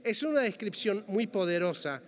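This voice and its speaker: A man's voice: high-pitched, very animated. Man lecturing in a reverberant hall. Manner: measured, careful delivery with quoted passages. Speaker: panel speaker. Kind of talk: reading a prepared lecture. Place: large conference hall. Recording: long hall reverb, faint background noise.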